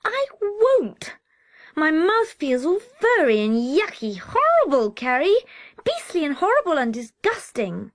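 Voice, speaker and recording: A woman voicing a small frightened boy who wails in high, breathy cries. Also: moderate pace, dry recording. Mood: disgusted wail.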